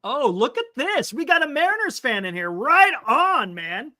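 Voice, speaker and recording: A man's voice: very high-pitched, big pitch swings, normal speed, pushed and bright, dry recording. A man in his fifties hosting a casual sports talk stream, speaking emphatically and repeating his points. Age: 50s. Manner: emphatic, repeats himself, calls people "man". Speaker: sports talk show host.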